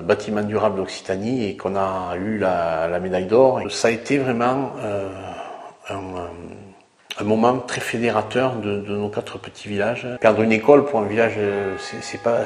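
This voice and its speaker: Halting man in an echoey room. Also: fairly low pitch. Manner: halting, repeats words. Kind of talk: reflective interview testimony.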